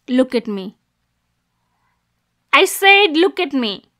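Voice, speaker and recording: A woman's voice: high voice, sing-song, slow, full character voice. A woman voicing a possessive, jealous yandere girlfriend in an ASMR roleplay, menacing and cooing by turns. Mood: angry, accusing. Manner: swings between soft cooing and angry, degrading outbursts. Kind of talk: ASMR roleplay, dramatic monologue.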